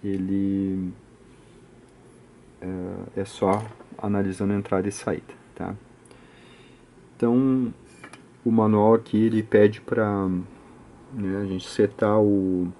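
Mellow low-voiced Brazilian man explaining. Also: slight background noise. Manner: halting, often adds short check-in tags. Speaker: electronics repair channel host. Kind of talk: informal hands-on technical tutorial. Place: indoor home electronics workbench.

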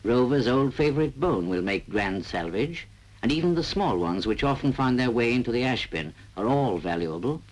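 Animated, husky British male newsreel-style narrator. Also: medium pitch, normal speed, low-quality recording. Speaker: film narrator. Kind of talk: 1940s public information film narration.

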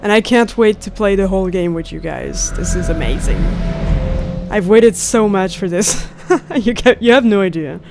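A young woman giving casual, excited and reactive let's play commentary, close on a studio mic. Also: mid-range voice, normal speed, gravelly, over music. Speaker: gamer, host of a let's play series. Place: indoors, close at a desk. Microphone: studio mic on a boom arm, headset on. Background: faint game soundtrack.

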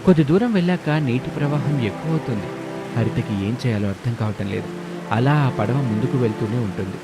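A man's voice: mid-range voice, animated, a little fast. Male storyteller over background music. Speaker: narrator. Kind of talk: scripted moral story narration.

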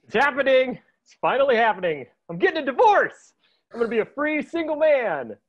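A man reads a comic supporting part with eager excitement, his pitch bouncing around. The recording sounds somewhat dull and muffled. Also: fairly quick. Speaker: actor reading a supporting role. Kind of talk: table read acting.

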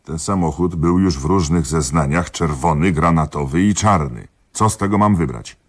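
A man playing a dogged, sceptical police investigator in a radio comedy, husky and dryly questioning, politely exasperated. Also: a bass voice. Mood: sceptical, probing. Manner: questioning, dry, reads verse aloud slowly. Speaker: actor in a radio play. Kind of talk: theatrical radio comedy dialogue.

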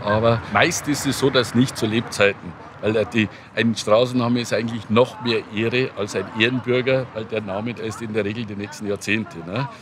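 A man's voice: fairly low pitch, fairly even intonation, normal speed, hardly any pauses, noisy background. A man, a former mayor, talking off the cuff in an interview. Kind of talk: spontaneous interview talk.

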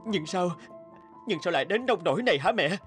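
An actor playing an emotional, hot-tempered young son in a cartoon, with dramatic delivery. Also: male voice, sits high, expressive, brisk. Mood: remorseful, anguished. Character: hot-tempered, resentful young man, later remorseful son. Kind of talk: cartoon dubbing. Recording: clear music under the voice.

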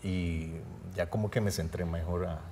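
A man's voice: low-pitched, fairly even intonation, normal speed, background noise. A man in his thirties, a film director, talking earnestly in a studio interview, rambling with restarts.

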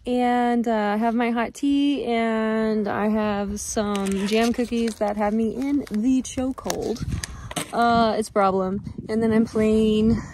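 High-voiced bubbly woman vlogging outdoors. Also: a little mellow, a little hiss or hum. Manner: bubbly, enthusiastic, chatty. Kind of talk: casual vlog narration. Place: outdoors in desert and in a shop. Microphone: phone at arm's length.

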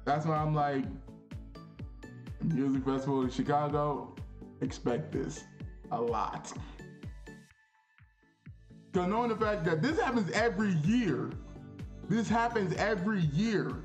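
A young man vlogging in a casual, animated, slangy storytelling way, repeating phrases for emphasis in a small bedroom. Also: on the high side, unhurried, long pauses, echoey room, faint music behind. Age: young adult.

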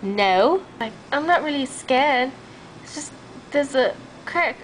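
A blunt, curious little girl voiced by a woman rambles hesitantly in a homemade puppet comedy. The voice is high and unhurried, with faint noise from a home camera recording. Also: lively intonation, low-quality recording. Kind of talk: amateur comic puppet show.

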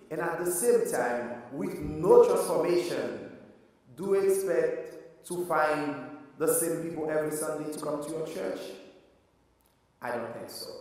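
A man with a Haitian accent preaching a sermon as pastor, slow and earnest, with emphatic rises. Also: fairly high pitch, a little mellow. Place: church sanctuary. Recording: echoey church hall from far off.

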